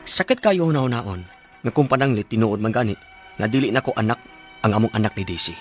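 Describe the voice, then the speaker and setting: A man's voice: fairly low pitch, expressive, fast talker, faint music behind, natural voice. An actor with a Cebuano Filipino accent playing an earnest, wounded father in an emotional radio drama. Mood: troubled, earnest. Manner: emotional, pleading. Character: earnest, wounded family man and devoted father. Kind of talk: radio drama acting and first-person narration.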